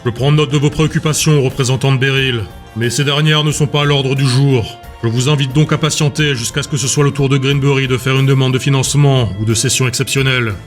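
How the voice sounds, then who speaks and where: A man's voice: fairly even intonation, fast talker, few pauses, close to an everyday voice. A man voicing a stern, authoritative council president with a strong voice and a formal, impatient manner in an audio drama. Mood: formal, firm. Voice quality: strong booming voice. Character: stern, commanding, scarred male council president. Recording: music bed behind the voice.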